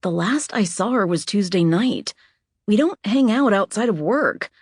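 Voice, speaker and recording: A woman's voice: mid-range voice, animated, unhurried, breathy edge, dry recording, studio quality, pitched above the performer's own voice. A woman narrator playing a tough, defiant female bar worker with a blunt, combative manner.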